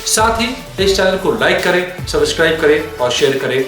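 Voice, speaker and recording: Heavily echoing recording, male news host, raised pitch, emphatic dramatic scripted commentary, music underneath. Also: expressive, moderate pace. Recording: heavy echo, music bed underneath. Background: background music.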